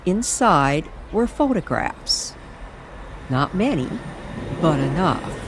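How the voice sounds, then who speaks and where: Female narrator for an eerie radio-style tale: unhurried, highly animated, suspenseful pauses. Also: noisy background. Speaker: storyteller narrator. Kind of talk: dramatic scripted narration of a paranormal radio story.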